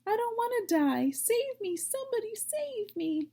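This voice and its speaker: A woman's voice: extremely high pitch, very animated, measured pace, warm tone, pitched above the performer's own voice, exaggerated voice. A woman voicing a panicked, childish young male pig in a storybook, wailing and pleading.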